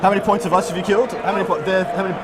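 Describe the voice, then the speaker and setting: A man's voice: high-pitched, fairly even intonation, rapid-fire, over a noisy crowd. A man playing a tabletop game at a convention, counting dice and rules aloud in casual table talk. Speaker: tournament player. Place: busy convention hall. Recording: loud crowded hall, noisy.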